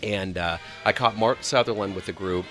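Eager low-voiced male radio host. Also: moderate pace, fairly bright tone, soft background music. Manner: enthusiastic, rambling with restarts. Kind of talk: casual radio chat.

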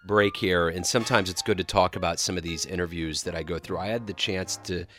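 Eager low-voiced male radio host. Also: steady tone, brisk, a bit bright, faint music behind. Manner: enthusiastic, rambling with restarts. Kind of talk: casual radio chat.

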